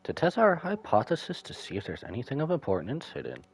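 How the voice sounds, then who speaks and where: A man's voice: medium pitch, huge pitch swings, quick pace, gravelly, husky. A man giving casual, slangy live commentary as he streams a video game.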